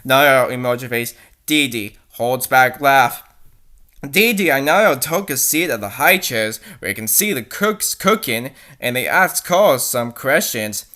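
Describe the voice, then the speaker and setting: Sarcastic, theatrical fan fiction reader with a bright voice and lively, swinging pitch. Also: a little slow. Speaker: reader and commentator. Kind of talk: mocking dramatic read-aloud of fan fiction with commentary.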